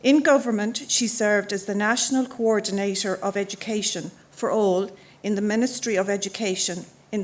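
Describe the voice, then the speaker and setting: Woman reading a formal citation. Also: mid-range voice. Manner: measured, ceremonial. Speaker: council member reading an honorary citation. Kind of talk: formal scripted citation speech. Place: auditorium, before an audience.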